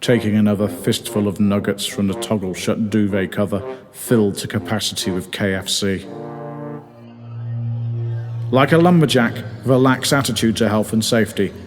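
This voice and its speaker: A man reading his own comic story as narrator in a theatrical, mock-dramatic way. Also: lowish voice. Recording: long reverb, music behind.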